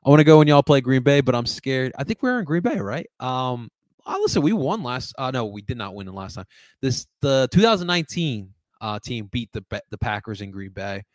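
A man's voice: medium pitch, sing-song, fairly quick, no echo. A man with a light Latin American Spanish accent hosting a casual live sports talk show, rambling, repeating words and reading out viewer comments. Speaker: sports podcast host reading live chat.

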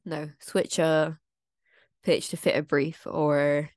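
A woman's voice: sits low, little pitch movement, slow pace, dry recording, studio quality. A woman co-hosting a casual podcast, a theatre maker talking through her plans in a thoughtful, explaining way. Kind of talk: casual chat.

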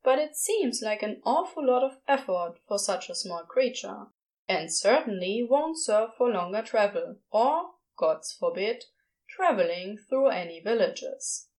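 A woman's voice: medium pitch, very animated, a little slow, darkish tone, low-quality recording. A woman reading scripted fiction as the narrator of an audio story.

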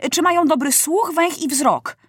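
A woman's voice: high voice, no echo, clean studio sound, pitched above the performer's own voice, lightly acted. A woman voicing a brave, eager young girl hunter who fires off questions and barks orders. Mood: curious. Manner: excited rapid-fire questioning, commanding shouts.

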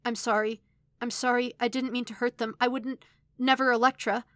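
A woman voicing a distressed, earnest young man in a story, halting, choked and pleading. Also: fairly high pitch, fairly even intonation, dry recording, clean studio sound, voice pushed up. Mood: anxious, apologetic.

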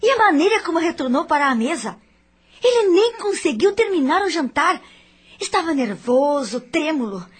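A woman's voice: high-pitched, big pitch swings, moderate pace. A woman with a Brazilian accent playing a suspicious, jealous young wife in a radio soap opera, insistent and dramatic. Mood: jealous, troubled.

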